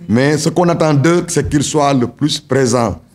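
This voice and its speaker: A man's voice: mid-range voice, unhurried. A man, a member of parliament, giving a formal speech with stretched emphatic words and some restarts.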